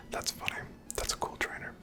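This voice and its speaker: A person whispering softly and breathily, giving gentle, casual ASMR commentary while playing a video game. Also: measured pace, music in the background. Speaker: host of a gameplay series.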